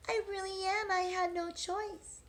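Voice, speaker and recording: A woman's voice: very high voice, fairly even intonation, measured pace, voice pushed up. Woman doing a small, meek, mournful creature. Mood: apologetic. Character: small sad male book-snatching creature.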